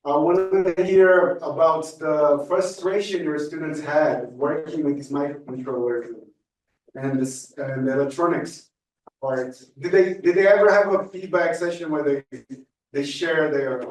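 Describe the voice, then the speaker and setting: A man's voice: fairly high pitch, a little slow, a little mellow. A man in the audience asking a conference question, hesitant and full of fillers. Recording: echoey room, from far off.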